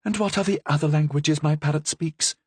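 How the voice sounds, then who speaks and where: A shrill, excitable young queen in a dry, close storybook reading. She is imperious yet giddy, with her exclamations leaping high. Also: a little fast, breathy edge, no echo. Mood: commanding.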